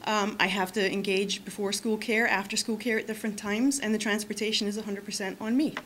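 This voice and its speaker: A woman's voice: mid-range voice, fairly even intonation, brisk, a bit bright. Earnest woman at a school board podium mic, lots of ums, faint hiss. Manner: earnest, many fillers and restarts. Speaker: parent giving public comment. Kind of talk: public comment at a school board meeting. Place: large meeting room. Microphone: podium microphone.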